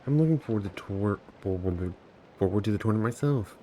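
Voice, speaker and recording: A man's voice: on the low side, expressive, fast talker, warm tone, a little hiss or hum. A man streaming a game, casually commenting and reading dialogue aloud, sometimes stumbling over words.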